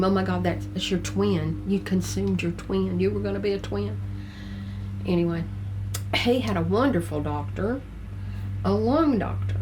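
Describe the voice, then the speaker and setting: Older woman with a gruff, congested voice. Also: fairly low pitch, background noise. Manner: chatty, rambling, sniffly. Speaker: vlogger. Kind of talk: casual chat to camera. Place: tiny house.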